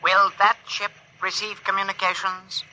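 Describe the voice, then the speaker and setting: An actor playing a gentle, sorrowful alien who speaks formal, literal phrases through a translating machine in a 1950s radio drama. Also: male voice, high-pitched, flat delivery, moderate pace, rough recording. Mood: formal, measured. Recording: vintage 1950s radio broadcast.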